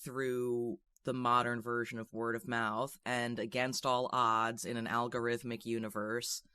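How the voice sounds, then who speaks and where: A man with a bright, crisp voice, recorded close and dry. He speaks as an interviewer, conversational and wordy, at a mid pitch. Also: level tone, a little slow, no room sound.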